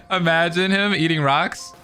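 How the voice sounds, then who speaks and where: A man's voice: sits high, very animated, takes it slowly, bright, forceful tone. A man casually reacting to a game story, chatty and joking between scenes. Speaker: reaction video host. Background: game music.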